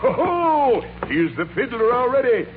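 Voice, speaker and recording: Very high-pitched jolly old boss. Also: male voice, big pitch swings, unhurried, noisy background, exaggerated voice. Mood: jolly, festive. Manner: exuberant, hearty, calling out. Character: jolly, generous old male employer throwing a party. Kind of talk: 1940s radio drama acting. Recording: tinny 1940s radio recording.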